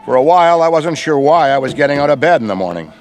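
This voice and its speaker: An actor playing Ray, an enthusiastic middle-aged veteran ghostbuster who rambles in wordy, professorial speech. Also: male voice, a little high, lively intonation, fast talker, music in the background, natural voice. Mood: lively. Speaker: veteran mentor. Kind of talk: video game cutscene voice acting.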